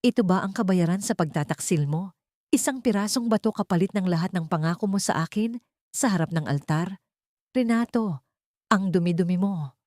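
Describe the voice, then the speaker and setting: A woman's voice: fairly low pitch, moderate pace, a little mellow, dry recording, clean studio sound, lightly acted. A woman narrator voicing a betrayed, bitter wife who accuses her husband in an audio story. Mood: disgusted, accusing. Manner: accusing, wounded. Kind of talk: dramatic audio story dialogue.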